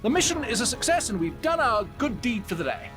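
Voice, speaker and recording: A man's voice: very high voice, very animated, brisk, over music. An actor playing a fussy, polite young male rat with a posh British accent in a video game cutscene. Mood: self-satisfied. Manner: polite, prim, theatrical.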